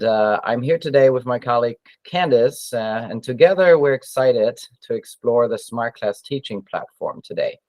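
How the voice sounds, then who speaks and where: A man's voice: medium pitch, a little mellow, dry recording, rough recording. A man whose first language is German, hosting a webinar in a friendly way with hesitant fillers. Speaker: webinar host. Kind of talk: webinar interview.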